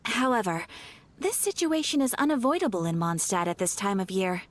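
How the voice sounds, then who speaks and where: A woman playing a polite, formal guild receptionist in a video game, courteous and measured. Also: mid-range voice, sing-song. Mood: polite, composed.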